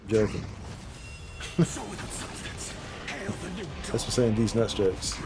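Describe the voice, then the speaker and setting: A man's voice: lowish voice, steady tone, takes it slowly, over music. A man chatting casually and slangily as he streams games, often repeating phrases for emphasis. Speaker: game streamer, host. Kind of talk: casual livestream chat while gaming.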